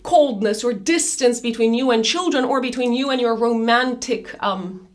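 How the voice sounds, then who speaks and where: Raspy-voiced woman reading horoscopes. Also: a little high, normal speed. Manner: chatty, loose, with many fillers and restarts. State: raspy voice after illness. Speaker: astrologer.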